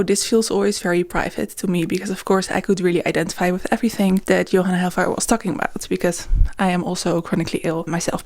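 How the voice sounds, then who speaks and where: A woman with Dutch as her first language speaks in a medium-pitched voice. She talks casually and candidly, in the conversational way of a book vlogger sharing a reading diary. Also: a little mellow. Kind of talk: casual chatty reading vlog.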